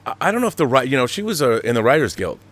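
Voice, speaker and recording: A man's voice: mid-range voice, big pitch swings, very fast, fairly bright tone. A man hosting a live talk show, giving emphatic, opinionated commentary with rhetorical questions.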